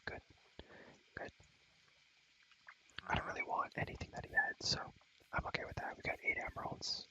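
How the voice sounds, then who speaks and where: A man's voice: normal speed, sharp tone, rough recording. A young man whispering breathily into a close mic, rambling slangy ASMR gameplay commentary and repeating phrases over and over. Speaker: ASMR gamer and host.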